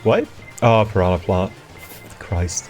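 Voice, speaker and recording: Young guy not native in english, low voice, laughing along on a game stream, faint music behind. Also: takes it slowly, a little mellow. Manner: chatty, laughs easily, reminiscing. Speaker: gamer streaming with friends. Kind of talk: casual gaming banter.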